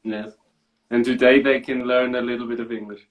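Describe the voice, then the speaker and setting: A man's voice: mid-range voice, flat delivery, normal speed, lo-fi sound. A man from Switzerland, a football coach answering interview questions in an easygoing way. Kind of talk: casual interview answers in English.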